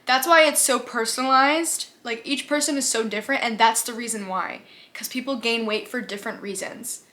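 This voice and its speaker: Bright, chatty young American woman. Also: on the high side, normal speed. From United States. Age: 20s. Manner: chatty and upbeat, laughs easily, says "like" often. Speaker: vlogger. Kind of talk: casual Q&A vlog. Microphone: camera mic, talking to camera.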